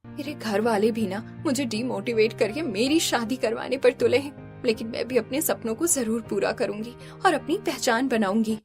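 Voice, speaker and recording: A woman plays a determined young heroine in a cartoon, with a fairly high voice. She speaks earnestly, protesting and holding her ground, over clear background music. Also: normal speed, natural voice.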